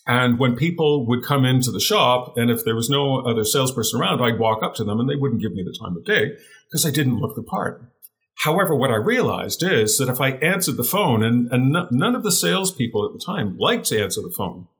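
A middle-aged man with a deeper voice, a guest telling his career story in an interview, earnest and often repeating words as he searches for the phrasing. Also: lively intonation, fairly quick.

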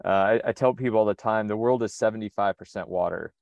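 A man from the United States, speaking in a relaxed conversational interview, thinking aloud with frequent restarts. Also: lowish voice, quick pace, creaky, no room sound, muffled recording. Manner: reflective, methodical, frequent restarts. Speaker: sailor and interview guest.

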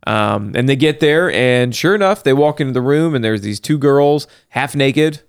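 A man in a casual podcast chat, recounting a film with animated, laughing energy. His voice sits in a middle register, and the mic sound is dry and close. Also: mid-range voice, moderate pace, smooth, no room sound. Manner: animated, laughing, talks through the plot. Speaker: podcast co-host recapping a movie.

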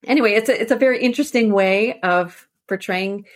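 A woman's voice: medium pitch, fairly quick. A woman speaking as a podcast guest, explaining literature in a thoughtful, enthusiastic, conversational way. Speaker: interview guest, author and editor.

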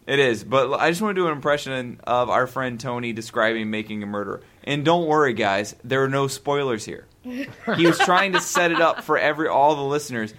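A man chatting on a podcast, halting and rambling with many restarts. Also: mid-range voice, lively intonation, fairly bright tone, a little hiss or hum. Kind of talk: casual podcast chat.

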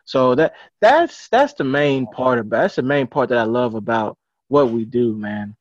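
A man talking casually in an interview as an encouraging coach, halting and repeating words as he goes. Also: medium pitch, lively intonation, no room sound. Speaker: book publisher and author coach. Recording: dry, dull lo-fi audio.

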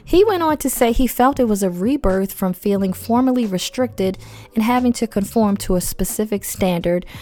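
A woman's voice: mid-range voice, warm tone. A woman hosting a casual podcast, stuffy and losing her voice from a head cold, reading facts with chatty, slangy asides. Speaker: podcast host.

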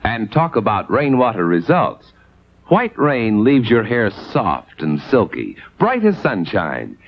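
A man's voice: fairly low pitch, wildly swooping pitch, takes it slowly. A man announcing a vintage radio advertisement on an old recording. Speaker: commercial announcer. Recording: dull, noisy vintage broadcast recording.

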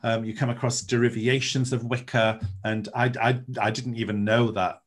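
A man speaks in a reflective, lyrical way. His voice sits at an ordinary middle pitch for a man. His sentences are earnest and searching, and he often restarts them. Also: little pitch movement, moderate pace. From Wales. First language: Welsh. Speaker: interviewee, Druid. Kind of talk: reflective interview talk.

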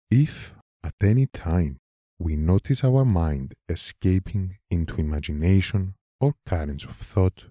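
Very deep, slow male meditation guide. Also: no room sound, clean studio sound. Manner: calm, unhurried, measured with long pauses.

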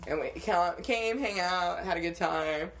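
A young woman co-hosting a podcast, telling dating stories in a casual, animated way and laughing often. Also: on the low side, measured pace, rough recording. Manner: animated storytelling with frequent laughter. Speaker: podcast co-host. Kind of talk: casual chat.

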